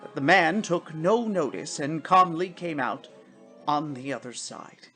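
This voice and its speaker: Slow, low-voiced woman storyteller. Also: animated, a bit bright, breathy edge, faint music behind. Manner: deliberate, theatrical phrasing with pauses. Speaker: story reader. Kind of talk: dramatic scripted narration of a story.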